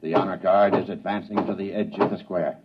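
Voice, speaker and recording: A man playing a grave radio news reporter in a vintage drama, with a solemn, deliberate announcer's delivery. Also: sits low, fairly even intonation, very noisy, lightly acted. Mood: hushed, tense. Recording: muffled vintage radio, husky, distant.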